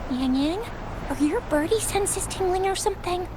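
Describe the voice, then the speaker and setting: A woman voicing a brash, playful young woman fighter in a video game, slangy and excitable, over music. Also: very high voice, moderate pace, noisy background. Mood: puzzled, wary. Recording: loud music behind the voice. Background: loud game music.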